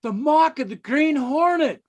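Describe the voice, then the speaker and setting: A man playing a tough, excitable police officer in an old-time radio drama. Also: very high voice, measured pace. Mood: excited.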